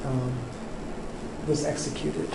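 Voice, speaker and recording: Soft-spoken New artist in a roomy studio. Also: male voice, fairly high pitch, steady tone, gravelly. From Cuba, raised in New York. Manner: soft-spoken, unhurried, with frequent ums. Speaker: visual artist, interviewee. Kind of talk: relaxed interview answers. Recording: roomy studio, faint background noise.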